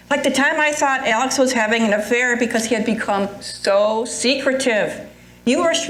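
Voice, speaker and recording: A woman's voice: high-pitched, fairly bright tone. An older woman playing a sympathetic, wryly reminiscing friend in an amateur readers theatre comedy, with conversational stage delivery. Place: indoor live readers theatre performance. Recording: roomy, echoing live stage pickup.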